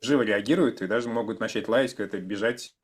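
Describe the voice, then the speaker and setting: A man's voice: lowish voice, quick pace, slightly rough. A man in a casual podcast discussion, hesitantly searching for his words. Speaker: podcast participant. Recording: music in the background. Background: music.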